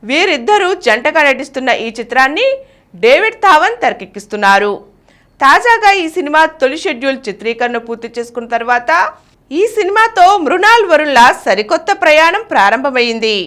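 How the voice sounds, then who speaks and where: A woman's voice: high voice, sing-song, a little fast, fairly bright tone. A woman reads a scripted news bulletin in a formal announcer's manner. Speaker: news reader.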